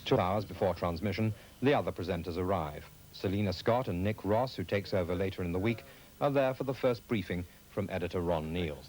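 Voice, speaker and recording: A man's voice: sits low, a little fast, a little mellow, rough recording. A British man reading scripted narration as a television reporter.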